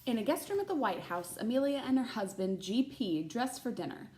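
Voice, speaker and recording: A woman reading a children's picture book aloud like a teacher, pausing to put questions to young listeners. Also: a little high, sing-song, dark tone, echoey room. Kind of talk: expressive read-aloud of a children's picture book with chatty asides.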